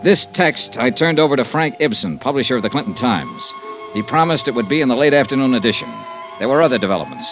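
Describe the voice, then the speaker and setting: A man's voice: medium pitch, very animated, fast, fairly bright tone, rough recording. An American man acting a tough, terse insurance investigator in an old-time radio detective drama, narrating in a clipped, matter-of-fact way. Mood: dry, matter-of-fact. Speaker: lead actor and narrator of a detective serial. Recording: vintage radio recording, faint music.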